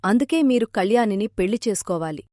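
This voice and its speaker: A woman playing a gentle, self-sacrificing village wife in a children's moral cartoon, speaking earnestly. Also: mid-range voice, animated, fast talker, no echo, studio quality, natural voice. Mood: insistent, sorrowful.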